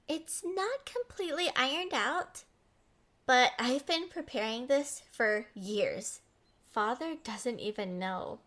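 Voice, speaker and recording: A woman's voice: sits high, a bit bright, heavily acted. A woman playing a smitten, possessive young princess in a romantic roleplay audio, coy, entitled and playfully defensive. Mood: tender, hopeful.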